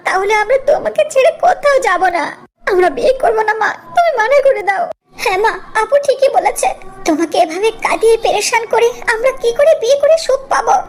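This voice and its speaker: Extremely high pitch, lively swings, young cartoon daughter played by a woman, caring, insistent, pleading, girlish. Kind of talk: cartoon story character acting.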